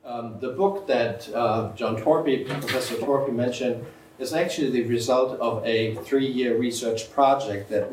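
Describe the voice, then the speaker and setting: A man giving a measured, methodical academic lecture as a historian, now and then clearing his throat. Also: medium pitch, a little mellow. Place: lecture room. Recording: roomy, from far off, faint hiss.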